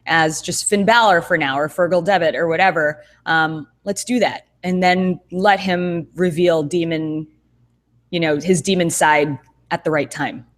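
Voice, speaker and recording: A woman with a somewhat low voice co-hosts a relaxed chat over a video call. She thinks aloud, circling back with fillers and restarts as she builds her point. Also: measured pace. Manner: thoughtful, rambling with many fillers and restarts. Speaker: co-host. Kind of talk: casual podcast chat.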